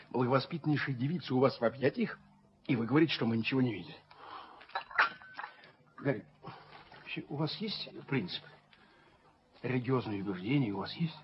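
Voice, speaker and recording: A male actor as a prim, pompous gentleman in a vintage radio play. His middle-pitched voice moralises with theatrical indignation. The old recording is dull, with faint noise. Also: close to an everyday voice. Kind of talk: Soviet radio drama acting.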